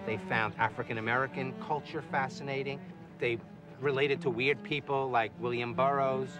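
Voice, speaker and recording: A man's voice: mid-range voice, steady tone, moderate pace, music in the background. A man giving an explanatory talk as a lecturer guiding a group. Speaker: lecturer and guide.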